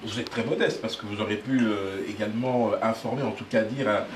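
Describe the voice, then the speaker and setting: A man's voice: fairly low pitch, fairly even intonation, fairly quick. A man hosting a studio talk show, courteously interviewing guests with frequent short acknowledgements and some hesitant fillers. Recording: roomy sound from a distant mic with background noise.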